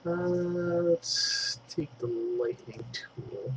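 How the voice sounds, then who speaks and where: A man's voice: mid-range voice, drawn-out words, noisy background. A man with a congested throat giving a casual digital painting tutorial, thinking aloud as he works and dropping in fillers. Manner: chatty, thinks aloud while working, trailing fillers.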